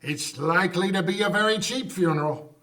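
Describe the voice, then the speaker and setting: A man's voice: high-pitched, brisk, recorded from a distance. An actor playing a flippant, callous gossiping businessman in an old-time radio drama. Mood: callous amusement. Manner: flippant, animated.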